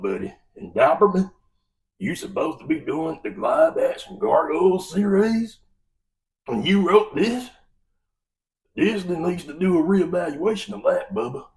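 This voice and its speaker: A man's voice: medium pitch, vocal fry, far from the mic, poor audio. An American man giving an opinionated, exasperated film review rant in a folksy, emphatic way. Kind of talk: opinionated movie rant to camera.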